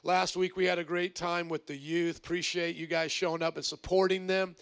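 A man preaching to his congregation with a congested, stuffed-up voice. His pitch sits high for a man, and a gravelly fry runs through it. He talks in an upbeat, rallying way. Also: flat delivery, a little fast, fairly bright tone. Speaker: pastor. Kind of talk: energetic sermon opening. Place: church service.

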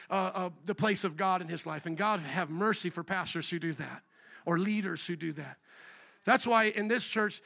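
A middle-aged man from Indiana preaching a sermon as pastor, animated, rising to near shouts on rhetorical questions. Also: sits high. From Indiana, USA.

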